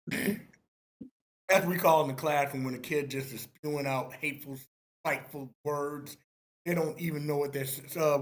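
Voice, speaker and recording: A man with a fairly high voice talks casually as a screenwriter in an online meeting. His delivery is emphatic and halting, with phrases repeated to drive the point home. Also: fairly bright tone. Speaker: screenwriter responding to feedback. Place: online live meeting room.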